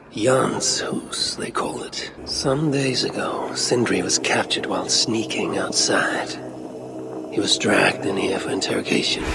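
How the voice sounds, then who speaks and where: An actor playing a brooding, resolute Norse warrior god in a video game, speaking gravely and deliberately in a gravelly voice. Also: male voice, fairly low pitch. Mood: grim, intent. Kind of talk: video game dramatic acting and musing. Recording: loud background ambience and noise.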